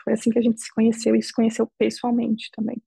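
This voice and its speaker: A Brazilian woman interviewee telling her personal story in a measured, reflective way, often ending phrases with a questioning tag. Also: a little high, monotone, creaky, warm tone, slightly rough, no room sound. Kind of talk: emotional personal testimony.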